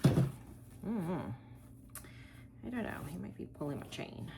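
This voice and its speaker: A woman's voice: lowish voice, big pitch swings, slow, vocal fry, noisy background. A woman from Texas hosting a wreath-making tutorial, chatting casually and thinking aloud as she works, with rambling asides. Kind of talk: casual crafting tutorial, talking while working.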